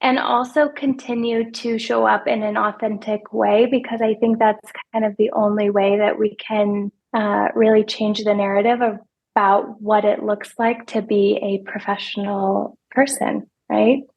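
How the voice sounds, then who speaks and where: A soft-spoken woman in her thirties, a financial advisor answering interview questions in a hesitant way, often repeating words as she thinks. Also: mid-range voice, little pitch movement, measured pace, vocal fry, lo-fi sound. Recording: podcast remote interview.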